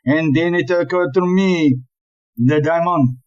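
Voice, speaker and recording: An actor playing an exasperated, blustering chief police inspector in a comic audio play, with an emphatic, deliberate, ranting delivery. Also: male voice, on the high side, a little mellow, highs cut off, lightly acted. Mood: indignant explaining.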